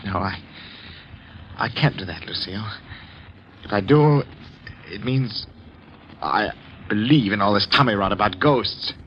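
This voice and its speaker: An actor playing a stubborn, brusque skeptical master of the house in an old-time radio horror play. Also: male voice, on the low side, unhurried, long pauses. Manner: brusque, dismissive, emphatic. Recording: dull vintage radio recording, clear hiss.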